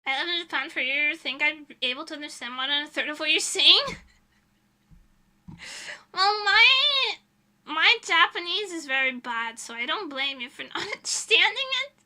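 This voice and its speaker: A woman's voice: sits high, lively intonation, moderate pace, bright, forceful tone. A young woman streaming as a VTuber, chatting casually with her viewers in a rambling, giggly way.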